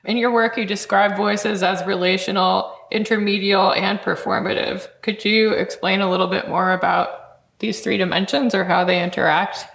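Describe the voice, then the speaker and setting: A woman hosting an interview, asking scholarly questions in a measured, articulate way. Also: medium pitch, steady tone, gravelly, fairly bright tone. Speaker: interviewer, podcast host. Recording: echoey room.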